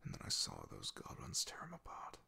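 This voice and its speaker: A man playing a solemn, haunted, devoted knight in an ASMR fantasy roleplay, speaking haltingly in a husky, gravelly half-whisper. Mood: wistful, somber. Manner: hesitant, halting, confiding in hushed tones. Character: loyal male knight, solemn, haunted, devoted to his princess.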